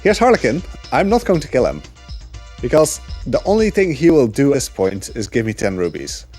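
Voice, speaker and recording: A man giving relaxed live commentary on his speedrun, explaining the route with frequent fillers. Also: mid-range voice, brisk, breathy edge, soft background music.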